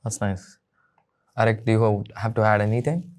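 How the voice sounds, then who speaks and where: A man hosting a roundtable panel, moving it along with short, upbeat prompts and quick reactions. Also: on the low side, a little mellow. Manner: upbeat, brief interjections. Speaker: host, moderator. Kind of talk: roundtable discussion.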